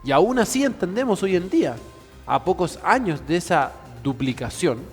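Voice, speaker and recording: A man's voice: high voice, very animated, moderate pace, faint music behind. Earnest man, reverberant studio. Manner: earnest, speechlike, with many fillers.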